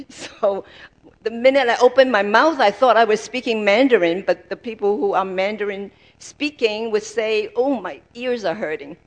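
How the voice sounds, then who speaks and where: A woman interpreting a sermon aloud, haltingly, piecing sentences together in short fragments. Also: medium pitch.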